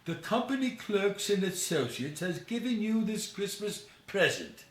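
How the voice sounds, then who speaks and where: A man's voice: high voice, sing-song, unhurried, roomy sound, voice pushed up. A man voicing an affectionate, respectful nephew in a storybook reading, earnest and formally courteous.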